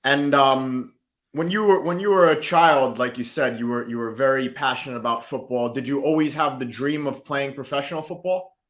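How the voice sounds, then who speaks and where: A man's voice: mid-range voice, moderate pace, a bit bright. A man hosting a podcast, chatting casually and affirming what he hears with short repeated agreements. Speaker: podcast host. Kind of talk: casual interview chat.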